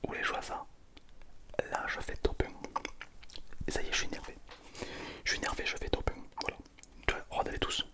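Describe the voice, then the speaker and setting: A gamer commentating casually on play in a breathy whisper, repeating phrases with playful mock bragging. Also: a little fast, pauses often. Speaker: gaming video host.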